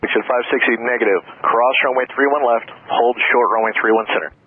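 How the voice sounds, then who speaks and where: A man's voice: mid-range voice, fairly even intonation, brisk, narrow, tinny audio. A man working as an air traffic ground controller, giving clipped procedural instructions over the radio. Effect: aviation radio.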